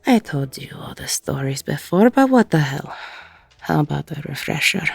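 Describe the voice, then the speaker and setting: A woman playing a weary, protective middle-aged ex-con bar owner in an intimate audio roleplay, with a husky, gravelly voice. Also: sits low, big pitch swings, moderate pace, no echo, soft background music, full character voice. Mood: regretful, confiding.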